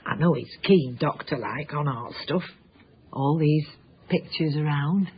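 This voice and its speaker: A woman playing an earthy, chatty working-class wife with a South Yorkshire accent and a husky voice in a radio drama. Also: deep voice, a little slow, slight background noise. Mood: hopeful. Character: earthy, eager, roly-poly working-class wife and cleaner. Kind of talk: naturalistic radio drama acting. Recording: dull, muffled radio broadcast.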